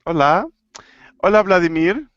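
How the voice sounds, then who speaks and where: A man from Argentina teaching an online Spanish class, playful and clear, slowing down to model phrases. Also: sits high, a bit bright, no room sound, muffled recording. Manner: playful, laughing, slow and clear when teaching. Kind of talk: live online language class.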